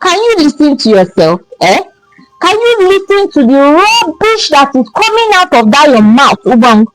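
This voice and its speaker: Storyteller lady doing a nigerian mama telling off her grown son, high voice, very lively. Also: normal speed, no room sound, faint music behind, poor audio. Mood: indignant. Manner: emphatic, nagging rhetorical questions. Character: scolding, no-nonsense Nigerian mother of a grown son.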